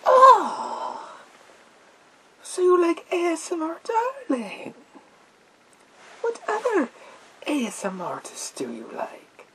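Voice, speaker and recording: A man voicing a menacing psychic villain in a gas mask, in a theatrical, drawn-out ASMR roleplay whisper with a creaky edge. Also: extremely high pitch, huge pitch swings, sharp tone, a little hiss or hum, full character voice, poor audio. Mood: gloating, mind-probing. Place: small indoor room with bookshelves.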